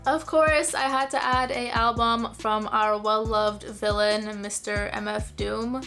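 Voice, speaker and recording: A woman from Iraq talks through her picks in a lively, descriptive vlog, at a mid-range pitch for a woman. She now and then pauses to wonder aloud and put questions to the viewers. Faint music plays softly beneath her voice. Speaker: music video creator. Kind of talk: casual scripted vlog talk.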